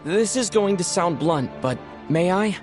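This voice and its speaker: An actor playing a polite, forthright young traveler in a video game, speaking in a measured, direct way. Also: male voice, high-pitched, lively intonation, music in the background. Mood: polite, probing. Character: polite, forthright young male traveler questioning those in power.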